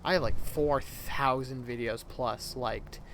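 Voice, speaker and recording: A man's voice: medium pitch, steady tone, slow pace, fairly bright tone, slight background noise, low-quality recording. A man of twenty rambling casually to his viewers, correcting himself as he goes, with a voice he calls childish. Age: 20s. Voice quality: self-described childish-sounding voice. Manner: rambling, self-correcting, chatty. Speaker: YouTuber. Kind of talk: casual rambling vlog chat.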